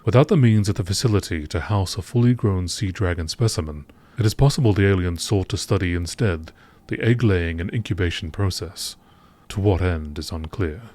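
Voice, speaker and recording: A man's voice: low-pitched, brisk, a little mellow, natural voice. A man voicing a grave, impersonal sci-fi facility log in a formal, detached manner. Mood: detached, clinical. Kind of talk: scripted sci-fi game log narration.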